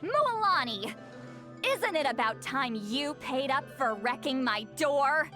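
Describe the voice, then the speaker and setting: A woman's voice: high-pitched, expressive, slow pace, sharp tone, soft background music, full character voice. A woman voicing a pointed, haughty young woman in an anime-style game. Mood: accusing.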